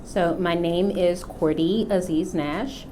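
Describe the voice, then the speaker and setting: A middle-aged woman from the United States, a company founder, speaking about her work and faith in an unhurried, reflective way with frequent pauses. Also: lowish voice, fairly even intonation, over music. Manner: unhurried, thoughtful, many filler pauses. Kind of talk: reflective personal testimony.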